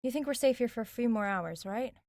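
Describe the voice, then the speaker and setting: A woman's voice: fairly high pitch, lively intonation, a little fast, darkish tone, dry recording. Dry, sardonic young woman. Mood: serious, decisive. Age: young adult. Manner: dry, sarcastic. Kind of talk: audio drama acting, naturalistic dialogue.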